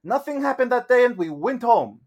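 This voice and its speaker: A man reading as a young painter, raised and bright. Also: very high voice, big pitch swings, normal speed, voice pushed up. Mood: earnest. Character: young male painter in a revolt.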